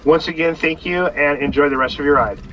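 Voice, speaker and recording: A man's voice: a little high, a little fast. A man working as a rider support agent, giving a polite, scripted reminder over a call. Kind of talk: scripted customer service call. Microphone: phone call on car speaker. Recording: dull speaker audio, faint noise.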